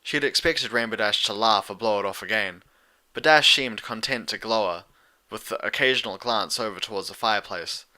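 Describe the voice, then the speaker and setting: A man's voice: on the low side, a little fast, pushed and bright. A man reading scripted story narration as the narrator. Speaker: narrator and reader.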